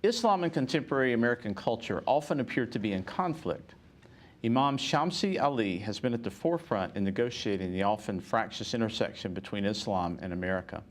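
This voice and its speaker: Middle-aged male TV host, measured and polite, slightly low voice. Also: animated. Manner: polite, measured, uses fillers. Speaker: TV show host, professor. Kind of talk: scripted intro, then interview. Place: TV studio.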